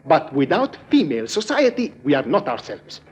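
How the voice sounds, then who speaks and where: An actor in a 1950s radio drama playing a boastful, melancholy Sicilian bandit chief with an Italian accent, grand and full of self-pity. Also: male voice, on the high side, wildly swooping pitch, normal speed, a little mellow, slightly rough, heavily acted. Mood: mournful, grandiose. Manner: grandiose, theatrical, self-pitying. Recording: dull vintage radio, music behind.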